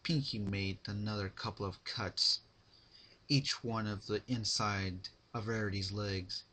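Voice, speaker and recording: A man narrating fan fiction as a dramatic reading, with deliberate phrasing. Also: on the low side, fairly even intonation, sharp tone, slight background noise.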